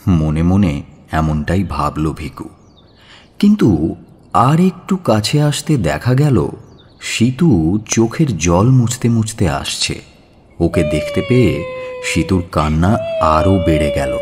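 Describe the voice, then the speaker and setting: A man narrating an audio story in a measured, expressive and dramatic storytelling style. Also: low-pitched, slightly rough. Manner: measured, expressive, drawn-out phrasing.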